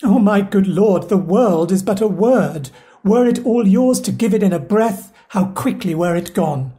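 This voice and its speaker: A woman playing a loyal, sorrowful household steward in a Shakespeare audio play, speaking the verse in an earnest, measured way. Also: mid-range voice, lively intonation, warm tone, lightly acted.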